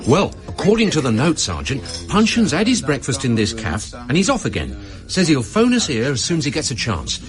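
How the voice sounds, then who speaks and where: An actor playing a chirpy, deferential English junior constable, colloquial and eager, in a radio drama. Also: male voice, medium pitch, wildly swooping pitch, brisk, music in the background. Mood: reporting.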